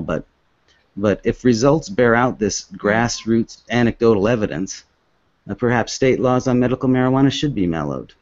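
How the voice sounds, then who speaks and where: Low-voiced, halting man. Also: darkish tone, dry recording. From Hong Kong. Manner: halting, earnest, many restarts. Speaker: author giving a talk. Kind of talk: presentation with slides. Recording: dull, dry lo-fi recording.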